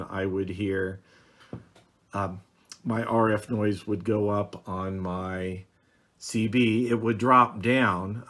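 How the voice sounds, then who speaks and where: A man's voice: on the low side, a bit bright. Plainspoken man showing radio gear. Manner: plainspoken, hesitant with frequent ums. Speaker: radio hobby video host. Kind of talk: casual how-to demonstration. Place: home office at a desk.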